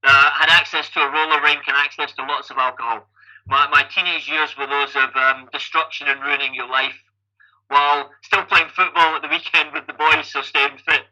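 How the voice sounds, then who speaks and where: A Scottish man in his fifties talks through his story as an interview guest. His voice sits a little high and sounds very bright and forward. He keeps restarting his sentences and repeating the opening word as he goes. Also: fairly even intonation, moderate pace, low-quality recording. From Scotland. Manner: chatty, repeats words when starting sentences. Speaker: guest athlete and coach.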